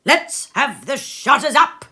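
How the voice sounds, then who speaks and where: A jovial, well-fed old master of a warehouse, acted out by a woman storyteller, hearty and oily. The voice swoops widely in pitch as it calls out merry orders in a storybook reading, taking its time over them. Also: animated, takes it slowly, pushed and bright, exaggerated voice. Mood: jovial, urging. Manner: hearty calling out, exclamatory.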